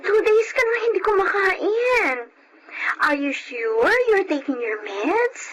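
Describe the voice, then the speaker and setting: A woman's voice: very high voice, animated, slow pace, slight background noise, heavily acted, poor audio. A woman playing a caring, concerned Filipino friend in a drama, fussing and nurturing in her talk. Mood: worried. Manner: fussing, nurturing, mixes Tagalog with English. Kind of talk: drama dialogue. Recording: loud music under dialogue.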